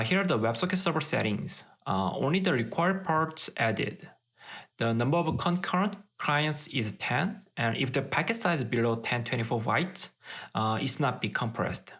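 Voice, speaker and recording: A man's voice: mid-range voice, fairly bright tone. A man narrating a technical tutorial in a methodical, step-by-step way. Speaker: tech tutorial presenter.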